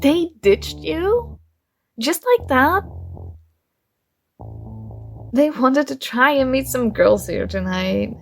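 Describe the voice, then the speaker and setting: A young woman playing a shy, warm college girl with a secret crush, speaking softly and hesitantly in an intimate ASMR roleplay. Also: sits high, expressive, takes it slowly, soft background music, exaggerated voice. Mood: indignant, caring.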